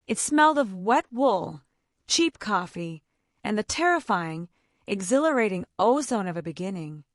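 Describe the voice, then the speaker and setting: Animated woman narrating romantic fiction. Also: medium pitch, a little slow, dry recording, studio quality. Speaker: audiobook narrator. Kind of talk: dramatic scripted romance narration.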